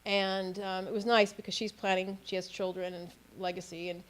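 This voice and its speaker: Woman, panelist, informal anecdotal talk, narrow pitch range. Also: level tone, moderate pace. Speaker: panelist, auction house specialist.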